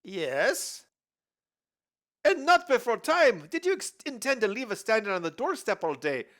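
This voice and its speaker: A man's voice: very high voice, big pitch swings, unhurried, fairly bright tone, voice pushed up, heavily acted. A man voicing a pompous, indignant lord with a put-on Scottish accent.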